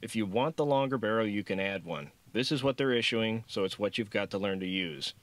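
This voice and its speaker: A man's voice: fairly low pitch, brisk, fairly bright tone, no room sound, close to an everyday voice. Man playing a cocky, easygoing security guard. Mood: confident, explaining. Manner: casual, confident.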